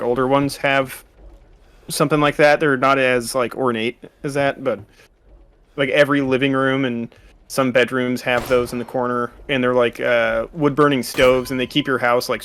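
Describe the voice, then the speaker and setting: A man's voice: medium pitch, fairly bright tone, smooth. A man in his thirties from California, chatting casually about a game in an easygoing way, full of fillers. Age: 30s. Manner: easygoing, filler-heavy, chuckles often. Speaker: co-host watching a game. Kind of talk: casual chat while gaming.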